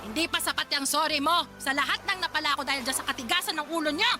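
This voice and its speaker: Bitter old Filipino lola played by an actress, high-pitched, nagging and sarcastic, radio drama over prominent music. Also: female voice, fast talker, lightly acted. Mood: angry scolding. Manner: scolding, accusing, sarcastic. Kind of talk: Tagalog radio drama acting.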